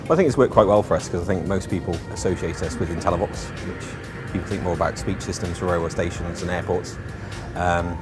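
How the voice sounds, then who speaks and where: Brisk, low-voiced man over music. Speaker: manufacturer representative. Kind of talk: informal business interview. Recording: music behind the voice.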